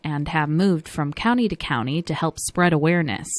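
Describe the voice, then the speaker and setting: Lower-voiced woman news reporter, dry. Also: dry recording. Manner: measured news-reading delivery.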